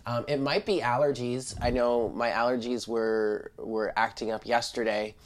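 A tarot reader speaks in a medium-pitched voice that sounds stuffed up with congestion. The delivery is informal and chatty, addressed straight to the viewers as a spiritual card reading is given at a table indoors. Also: steady tone, normal speed.

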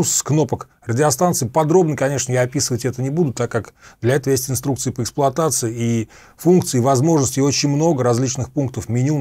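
A man's voice: mid-range voice, warm tone, no room sound. A middle-aged man presenting a piece of equipment in an explanatory, methodical way, restating and clarifying terms as he goes. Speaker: host reviewing radio equipment. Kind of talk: explanatory product demonstration. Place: small room at a computer desk, indoors.

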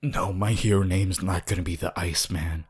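A man voicing a cold, cocky, brooding teenage boy hero in an ASMR anime roleplay, in a husky, breathy murmur. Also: steady tone, darkish tone. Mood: annoyed, defensive. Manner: slow, low murmur, often dropping to a whisper.

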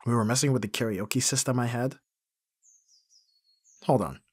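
A man's voice: mid-range voice, moderate pace, slightly rough, dry recording, natural voice. A man playing a flustered, awkward young best friend in an intimate ASMR roleplay, speaking hesitantly with sighs and stammers. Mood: puzzled, piecing together. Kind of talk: ASMR roleplay, one-sided intimate dialogue.

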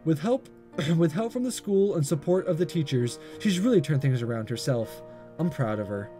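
A man reading a visual novel aloud as a gaming host, expressive but amateur, stumbling and rereading lines. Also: a little high, moderate pace, faint music behind.

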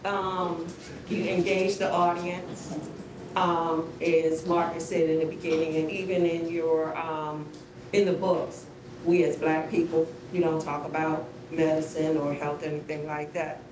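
A woman's voice: flat delivery, measured pace. A middle-aged woman giving spontaneous, heartfelt feedback from an audience. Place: large bare concrete rehearsal hall. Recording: faint room noise and rumble.

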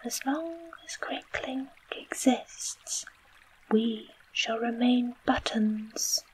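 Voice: medium pitch, extremely slow, breathy edge, voice pushed up, heavily acted, poor audio. A storyteller whispering the part of an enchanted field mouse trapped as a button. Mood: mournful. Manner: whispered, mournful.

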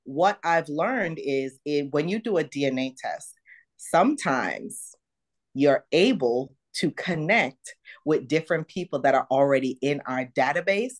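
A middle-aged woman from Jamaica with a mild Jamaican accent, telling her story animatedly as a guest on a video call interview. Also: a little slow. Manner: animated, jumps high to act out her own past words. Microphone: video call webcam.

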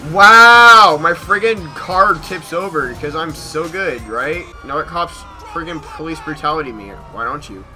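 A young American man giving casual gameplay commentary in an excitable manner, repeating short phrases. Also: a little high, a little slow, few pauses, music in the background. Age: young adult. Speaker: gaming YouTuber. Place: small bedroom at home.

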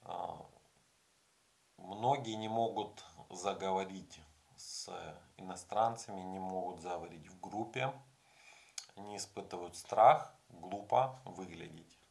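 Low gravelly man giving casual advice. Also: slow, darkish tone. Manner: conversational, frequent hesitation sounds, explaining with examples. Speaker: language learning vlogger. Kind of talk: casual advice talk to camera. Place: small room indoors with curtains.

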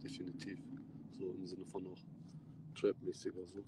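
A man's voice: low voice, completely flat delivery, moderate pace. A man, chatting casually on a phone livestream from the back of a taxi in slangy, laid-back talk. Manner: laid-back slangy talk, drifting between loud and mumbled. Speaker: rapper hosting a livestream. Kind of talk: casual livestream chat with fans. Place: back seat of a taxi at night. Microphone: phone held close, front camera. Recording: phone audio with car noise.

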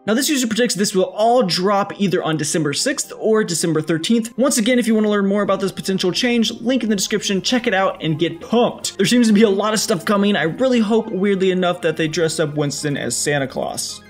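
A man's voice: high-pitched, animated, a little fast, few pauses. A young man narrating scripted gaming news as a video host, casual and upbeat, stressing key words. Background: faint music.

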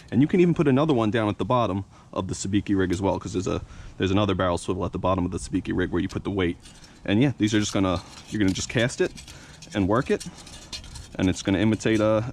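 Young guy showing how to make fishing tackle, kinda low voice, says uh and you know a lot, outside w/ a little hiss. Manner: relaxed, fillers like "you know" and "uh". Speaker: fishing channel host. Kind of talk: casual how-to demonstration. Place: outdoors at a workbench.

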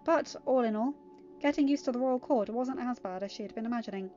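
A woman with a very high voice reads a story aloud as an amateur narrator. The home recording is somewhat dull and lo-fi, with faint music behind her. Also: fast talker, warm tone. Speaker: amateur audiobook reader. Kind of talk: narrated fan fiction reading.

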